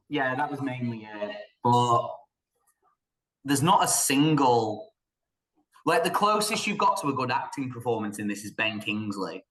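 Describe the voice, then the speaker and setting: Casting: British male podcast co-host, mid-pitched voice, informal, blunt film chat. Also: fairly bright tone, reverberant, poor audio. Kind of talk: casual opinionated film discussion.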